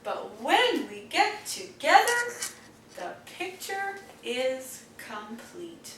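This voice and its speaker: A woman's voice: high-pitched, very animated, extremely slow, exaggerated voice. A woman voicing opinionated talking crayons in a rhyming picture book, with emphatic declarations. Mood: pleased. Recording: roomy, distant mic, faint noise.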